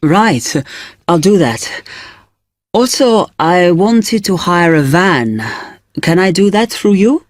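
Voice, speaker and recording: An actress playing a polite new student who asks hesitant questions in a scripted exam dialogue. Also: female voice, lowish voice, animated, takes it slowly, dry recording, studio quality.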